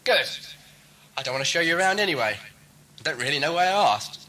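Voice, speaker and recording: A man's voice: fairly high pitch, lively intonation, fast, pushed and bright. A man playing an eccentric, scatterbrained time-traveller in an audio drama, wry, breezy and flippant. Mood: testy. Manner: wry, breezy, talking to himself. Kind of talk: fan audio drama acting.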